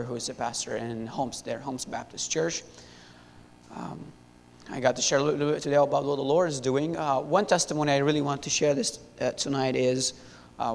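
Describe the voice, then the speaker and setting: A young man in his twenties from Syria, giving a halting church testimony full of fillers and restarts into a handheld mic. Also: creaky. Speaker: missionary. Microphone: handheld wireless mic. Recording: echoey hall, faint rumble.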